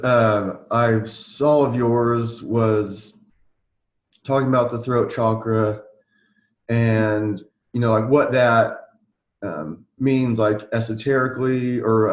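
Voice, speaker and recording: A man hosting a podcast interview, asking questions in a halting, casual way with lots of fillers. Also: mid-range voice, echoey room. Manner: halting, many fillers and restarts. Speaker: podcast host.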